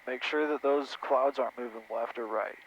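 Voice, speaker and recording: A man's voice: medium pitch, moderate pace. A man giving casual flight instruction through an aviation headset in a small airplane. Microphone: aviation headset intercom. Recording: dull intercom audio, faint cockpit noise.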